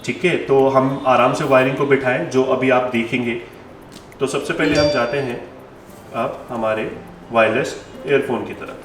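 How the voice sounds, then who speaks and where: A man's voice: medium pitch, fairly even intonation, measured pace, background noise. An Indian man presenting a hands-on installation tutorial in a conversational, instructive way, repeating key points and mixing in English terms. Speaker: store presenter demonstrating helmet intercom installation. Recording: echoey room with music behind.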